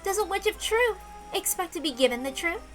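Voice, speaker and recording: A woman doing a calm, aloof witch. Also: very high voice, faint music behind, pitched above the performer's own voice. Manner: soft, measured, quietly lecturing.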